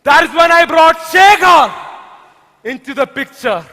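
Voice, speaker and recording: Male actor as arrogant puppeteer villain, Indian accent, very high forceful voice, pompous taunts, stage drama, echoing hall. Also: lively intonation, measured pace, full character voice. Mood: gloating. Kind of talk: amateur stage drama dialogue. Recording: echoey hall, long reverb.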